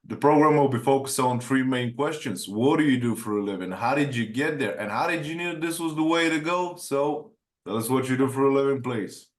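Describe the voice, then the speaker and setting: A man's voice: medium pitch, fairly quick, few pauses. Rambling Bulgarian-born man hosting, echoey room. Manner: rambling, stumbling restarts. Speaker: podcast host. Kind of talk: casual interview conversation.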